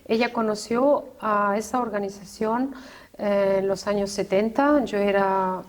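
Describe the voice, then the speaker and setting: Chilean woman, fifties, interview guest; reflective, halting delivery with frequent restarts. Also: medium pitch. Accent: Chilean Spanish. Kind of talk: personal testimony in conversation.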